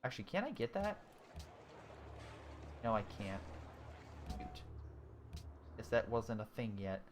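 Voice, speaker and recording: A young man in his twenties casually commentating a strategy game he is playing, thinking out loud with many ums and restarts while answering viewers' chat. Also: fairly low pitch, very animated, takes it slowly, background noise. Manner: thinking aloud, frequent ums and self-corrections. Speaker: gaming YouTuber. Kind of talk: casual let's-play commentary, reading chat.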